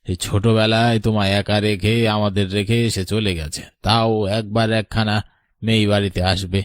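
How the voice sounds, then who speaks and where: A man's voice: lowish voice, little pitch movement, moderate pace, no room sound, lightly acted. Man acting an earnest villager, dry sound. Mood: solemn. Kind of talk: Bengali cartoon story voice acting.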